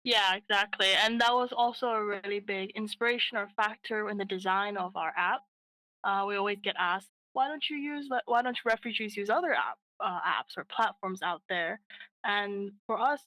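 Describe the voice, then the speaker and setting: A woman's voice: medium pitch, normal speed, bright, forceful tone, poor audio. A woman co-founder answering interview questions in an earnest way, with frequent fillers and restarts. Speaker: app co-founder, guest.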